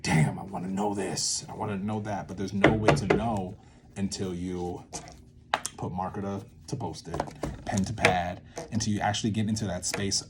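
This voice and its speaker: Low-voiced man thinking aloud over background noise. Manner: animated, thinking aloud with swings in emphasis and exclamations. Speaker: creator and host teaching a creative method. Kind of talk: informal instructional monologue to camera.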